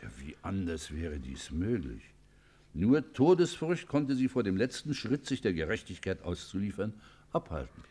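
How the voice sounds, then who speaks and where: Very deep-voiced, grave judge. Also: male voice, sing-song, normal speed, dark tone. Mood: urgent, earnest. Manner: stately, exhorting, formal rhetorical diction. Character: grave, earnest judge hearing a confession, morally exhorting. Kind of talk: classic German radio play acting.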